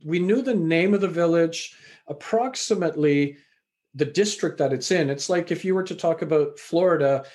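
A middle-aged man from Canada with a fairly high voice, telling his story in a reflective, conversational way. He often pauses on fillers as he gathers his thoughts, as an author would in an interview. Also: darkish tone. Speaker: author and university lecturer.

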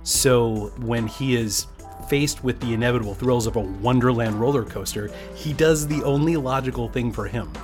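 A man's voice: mid-range voice, normal speed, darkish tone. A man hosting a podcast, reading a scripted promotional introduction to a show. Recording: music bed under the voice. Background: instrumental music.

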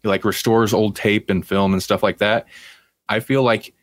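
A man with a fairly low voice co-hosts a casual review podcast. He talks in a rambling, conversational way, and the sound is dry with very little room in it. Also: level tone, normal speed, dry recording. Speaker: podcast co-host. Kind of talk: casual review chat about TV.

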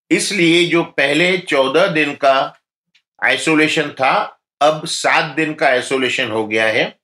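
A man speaking as a doctor, giving calm, instructive health advice in an interview. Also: fairly high pitch, roomy sound.

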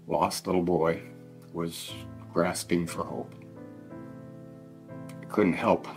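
A middle-aged man with a very deep voice speaks solemnly and haltingly, heavy with grief. His tone is gravelly and he repeats himself as he addresses someone directly in a formal statement. Faint guitar music sits underneath. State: emotional, grieving. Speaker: victim's father giving impact statement. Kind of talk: spoken victim impact statement in court. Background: faint plucked guitar music.

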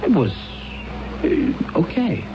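An actor playing an anxious, bewildered husband in a radio drama, pleading in a halting, insistent way. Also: male voice, very high voice, wildly swooping pitch, noisy background, full character voice. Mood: agitated, insistent. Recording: dull radio broadcast recording with music.

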